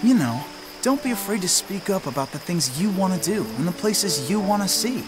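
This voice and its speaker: A man's voice: high-pitched, animated, moderate pace, music in the background. A man playing an earnest, protective teenage boy in a video game cutscene, caring, impassioned and a little awkward. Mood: concerned.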